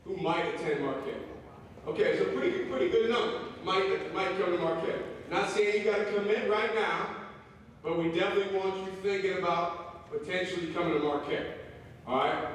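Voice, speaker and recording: A man's voice: high-pitched, normal speed. A middle-aged man from Wisconsin, a college basketball coach giving a casual motivational talk to students and asking them questions. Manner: casual, asks the crowd questions, loud voice. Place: large echoey hall. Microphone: speaking without a microphone, far from the recorder. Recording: echoey hall, distant voice, faint noise.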